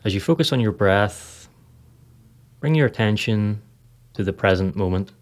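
A man with a notably low voice narrates a guided meditation. He delivers the script calmly and evenly paced in short phrases, with unhurried pauses between them. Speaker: podcast host, meditation guide.

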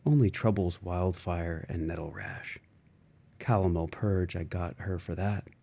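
A man reading a literary novel aloud in a measured, expressive way with dramatic phrasing. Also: sits low, creaky. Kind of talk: literary audiobook narration.

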